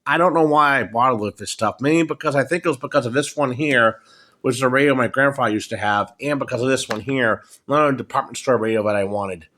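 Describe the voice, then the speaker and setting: Middle-aged American male, mid-range voice, casual hobbyist presenter, unscripted, matter-of-fact and a bit halting. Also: mid-range voice, sharp tone, slight background noise. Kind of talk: casual off-the-cuff product show-and-tell. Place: indoors at a wooden table in a home. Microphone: handheld phone, speaker behind camera.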